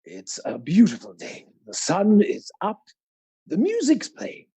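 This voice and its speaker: A man voicing a posh, grand show announcer with drawn-out, theatrical delivery. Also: high voice, huge pitch swings, dark tone, husky, full character voice.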